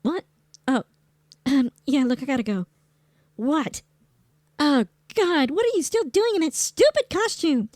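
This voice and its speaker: A brusque, impatient comedy-drama nag acted by a woman. Her voice is strikingly high and recorded very dry, with slangy, scornful, bossy phrasing. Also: expressive, unhurried, pauses often, darkish tone, no echo. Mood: bossy, irritated. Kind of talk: comedic audio drama acting.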